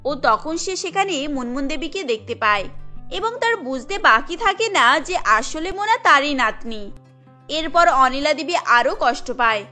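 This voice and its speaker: A woman narrates a cartoon tale from a script. Her voice is high and bright, with music playing underneath.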